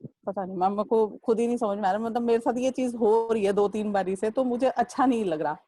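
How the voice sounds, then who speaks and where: A young woman speaks up in an online class, hesitant and full of fillers as she explains her problem. Her voice sits a little high and mixes Hindi with English. The sound is dry and close. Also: fairly quick, mellow, dry recording. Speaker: student. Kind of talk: asking a question in an online class.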